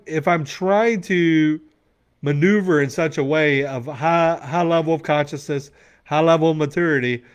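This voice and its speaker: Blunt, cursing man in his forties. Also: a little high. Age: 40s. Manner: blunt, profane, emphatic. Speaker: men's coach. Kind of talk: motivational Q&A talk.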